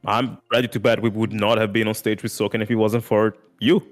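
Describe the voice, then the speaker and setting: A man's voice: on the low side, level tone, a little fast, faint music behind. A man guest on a podcast, talking casually and earnestly, throwing in "dude" and "like" a lot. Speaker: music content creator guest.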